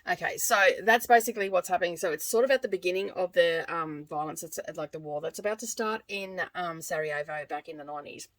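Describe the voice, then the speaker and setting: An Australian woman gives a casual book review in a fairly low voice. She speaks informally and rambles a little, circling back to correct herself. It sounds like a vlogger chatting to her viewers. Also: quick pace, hardly any pauses.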